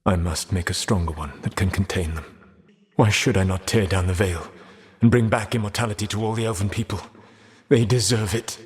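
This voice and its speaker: An actor playing an ancient, proud elven rebel mage burdened by regret in a video game, speaking in a grave, formal, measured way. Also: male voice, deep voice, long reverb tail, lightly acted. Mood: somber, pleading. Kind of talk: theatrical video game voice acting.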